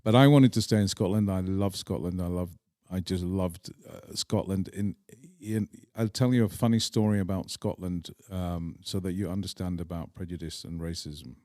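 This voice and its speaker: A middle-aged man with an English accent telling personal stories as an interview guest, deliberate and emphatic, pausing between phrases. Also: deep voice, fairly even intonation, mellow, no room sound, studio quality. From England. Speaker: podcast guest. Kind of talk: reflective personal storytelling in an interview.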